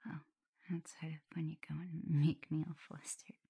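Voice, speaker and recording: Woman doing shy loving omega werewolf girl for asmr roleplay, soft breathy whisper w some creak, tender n teasy, kinda low. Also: little pitch movement, normal speed, full character voice. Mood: fond, teasing. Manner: tender, gently teasing, self-doubting. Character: shy, affectionate young female omega werewolf in love.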